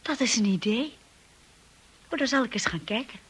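An actress playing a timid, earnest young wife who tells her own story in a radio drama, with a husky, breathy voice. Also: on the high side, lively intonation, moderate pace. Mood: hesitant, polite. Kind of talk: radio drama acting and first-person narration.

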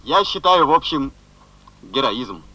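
An actor playing an earnest, flustered railway worker with halting speech, heard over a telephone in a 1970s Soviet radio play. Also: male voice, medium pitch, big pitch swings, sharp tone, heavily acted. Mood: earnest, proud. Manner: halting, peppered with filler words. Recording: thin, muffled telephone line.

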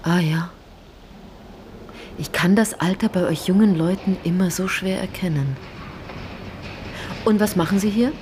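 An actress playing a wry, probing narrator and investigator in a radio drama, speaking in a dry, insistent way. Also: female voice, lowish voice, measured pace, pauses often, a little mellow, slight background noise, lightly acted. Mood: curious, probing.

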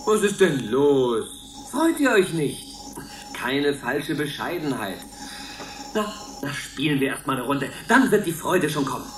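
A gushing, patronizing caretaker from a science fiction radio satire. His voice swings widely in pitch as he fusses and coaxes. It sits in a roomy echo with faint noise. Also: very animated, a little slow. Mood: coaxing. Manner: effusive, patronizing. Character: gushing, overbearing caretaker of a dome colony.

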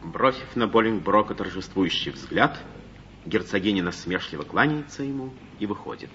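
Male narrator for a recorded play, lowish voice, scripted stage directions, muffled old broadcast. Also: lively intonation, moderate pace, pushed and bright, slight background noise.

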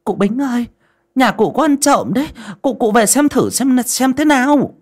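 Gossipy neighbour woman, put on by a narrator, high shifted pitch, excitable, exclaiming, audio drama style. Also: slow pace, heavily acted. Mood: urgent.

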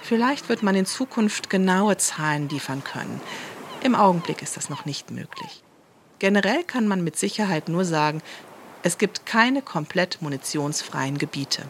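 A woman acting as a glib, bureaucratic spokeswoman in a satirical radio play. She explains in a lowish voice with officious jargon, over faint background ambience. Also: wildly swooping pitch, normal speed, slight background noise. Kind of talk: mock-official interview statement in satirical drama.

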